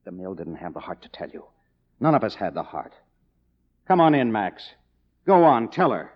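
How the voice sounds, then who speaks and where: A cynical, hard-boiled American writer living as a kept man in an old radio noir. His delivery swings widely in pitch, sardonic and clipped. The sound is a dull vintage broadcast recording. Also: big pitch swings, moderate pace. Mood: harsh, blunt. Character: cynical kept younger man, struggling Hollywood writer, bitterly proud. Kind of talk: 1950s radio noir acting with narration.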